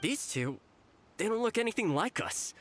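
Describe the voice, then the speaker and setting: An actor playing a wary, pragmatic bandit in a video game, measured and persuasive, with polite menace. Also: male voice, high voice, sing-song, heavy background noise. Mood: resigned. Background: game music.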